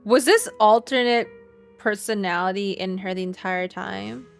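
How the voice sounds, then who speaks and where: A woman live-streams a story game, reading its text aloud and tossing in casual, playful reactions. The voice sits at a middle pitch, with soft game music underneath. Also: a little slow, gravelly. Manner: playful, informal. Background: faint game music.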